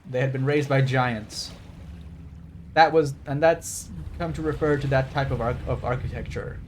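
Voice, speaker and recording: Rambling wry male streamer, faint background noise. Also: mid-range voice. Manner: digressive, wry, explaining trivia. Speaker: game streamer. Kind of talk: casual rambling livestream commentary.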